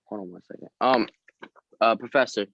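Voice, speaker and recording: A man's voice: on the low side, moderate pace, no room sound. Man reading script lines, dull dry audio. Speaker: script reader and prompter. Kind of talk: table read, reading lines and coaching. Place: video call table read.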